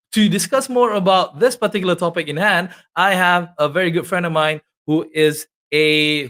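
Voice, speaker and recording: High-voiced upbeat male talk show host. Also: a bit bright. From Malaysia. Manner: upbeat and chatty, leading with questions. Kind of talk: casual interview chat.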